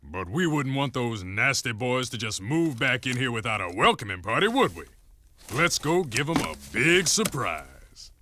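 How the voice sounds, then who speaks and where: A man's voice: medium pitch, big pitch swings, normal speed, pushed and bright, slight background noise. A man voicing a tough, streetwise mercenary in a video game, cocky and swaggering. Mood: gleeful, swaggering. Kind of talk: video game cutscene acting.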